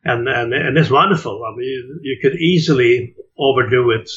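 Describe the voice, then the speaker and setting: A man's voice: mid-range voice, normal speed, fairly bright tone, highs cut off. A man in his seventies from Sweden, a jazz clarinetist telling his story in an interview, hesitant with many fillers and repeated words.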